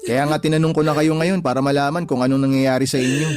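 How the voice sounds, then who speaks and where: A man's voice: medium pitch, steady tone, fast talker, over music, close to an everyday voice. A young man with a Filipino accent acting a concerned, exasperated adult son in a radio drama, blunt and pleading. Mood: worried, coaxing.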